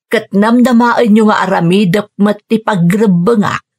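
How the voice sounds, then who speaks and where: A woman's voice: medium pitch, measured pace, a little mellow, breathy edge. A woman playing a young, dutiful, burdened Filipina daughter working overseas in an Ilocano radio drama, earnest and plaintive, with an Ilocano accent. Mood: wistful, earnest. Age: young adult. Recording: music bed under the voice. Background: music.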